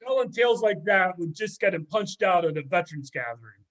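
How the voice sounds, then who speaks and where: Sardonic middle-aged ex-student, played by a man, high male voice, gossipy and mocking, amateur readers theater. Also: lively intonation, a little fast, a bit bright. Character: sardonic middle-aged former student dishing on an old teacher. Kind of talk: amateur readers theater, conversational script reading.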